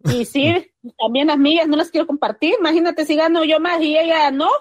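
A playful woman with a Salvadoran accent joins a radio call-in show. Her voice sits high and she trades cheeky, casual banter in a dry sound with little room in it. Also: fairly even intonation, a little fast, no echo.

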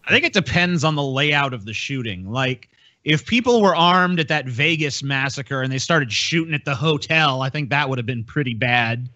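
A man's voice: medium pitch, animated, moderate pace, sharp tone, dry recording, highs cut off. A man co-hosting a podcast with casual, profane banter, blunt and sarcastic in manner. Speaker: podcast co-host.

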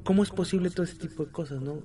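Mellow-voiced man chatting. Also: fairly high pitch, very fast, faint music behind. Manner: storytelling, many restarts and filler words. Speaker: podcast co-host. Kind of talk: casual chat about the paranormal.

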